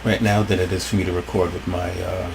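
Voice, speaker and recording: A man with a rough voice commenting casually on his gameplay, rambling and thinking aloud. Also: low voice, flat delivery, quick pace, background noise. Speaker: let's play host.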